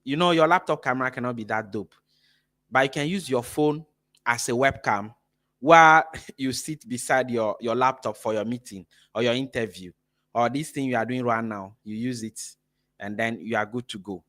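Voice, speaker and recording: Energetic man teaching a live online workshop. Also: medium pitch, moderate pace. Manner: energetic, repeats words, checks in with "right?". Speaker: workshop host and teacher trainer. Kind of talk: live-streamed workshop lesson. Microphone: phone camera mic.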